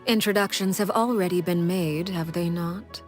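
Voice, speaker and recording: A woman voicing a composed, guarded female spy leader in a video game, speaking in a measured, formal way. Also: fairly low pitch, lightly acted. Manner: measured and formal, slow and softly whispering at times. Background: game music.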